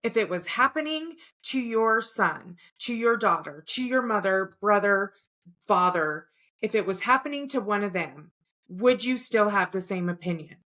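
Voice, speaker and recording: A woman's voice: medium pitch, expressive, normal speed, sharp tone. A woman co-hosting a casual podcast, ranting with passion and swearing bluntly. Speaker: podcast co-host.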